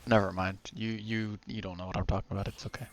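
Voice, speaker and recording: A man from Israel casting a live esports match, calm and dry in his play-by-play. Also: fairly low pitch, quick pace, faint music behind. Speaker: esports caster. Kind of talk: live game commentary.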